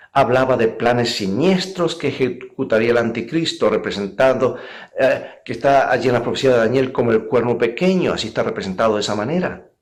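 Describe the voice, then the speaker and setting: A man preaching a sermon as a pastor, speaking earnestly and emphatically and addressing his listeners directly. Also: medium pitch. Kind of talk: sermon with illustrative anecdotes.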